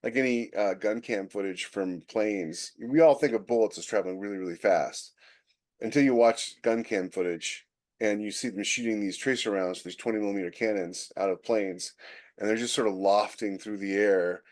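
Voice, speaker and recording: Male co-host with a moderately low voice, explaining things informally in relaxed podcast conversation. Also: normal speed. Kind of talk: casual chat.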